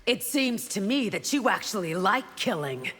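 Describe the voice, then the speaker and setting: An actress voicing a fierce, devout and loyal warrior bodyguard in a fighting game, speaking formally, proud and defiant. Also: female voice, mid-range voice, very animated, moderate pace, fairly bright tone, faint music behind. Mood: defiant, taunting. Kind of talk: video game fight intro dialogue. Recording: reverberant hall, noisy game backdrop.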